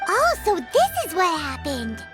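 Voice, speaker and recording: A woman's voice: very high voice, huge pitch swings, unhurried, heavily acted. A woman voicing a tiny chirpy floating fairy girl who talks about herself in the third person, in a game cutscene. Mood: curious. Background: game music.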